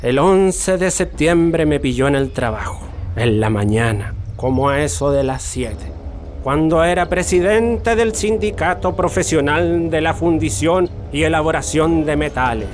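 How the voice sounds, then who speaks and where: An actor playing an earnest, talkative veteran Chilean union leader in a radio drama. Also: male voice, a little high, measured pace, slight background noise, natural voice. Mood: reminiscing, earnest. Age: older.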